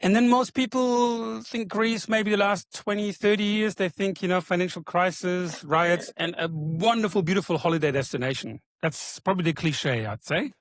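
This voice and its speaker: A man's voice: high-pitched, moderate pace, few pauses, no room sound. A man hosting a podcast, talking in a chatty, rambling way with frequent ums. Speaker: podcast host. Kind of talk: casual podcast interview.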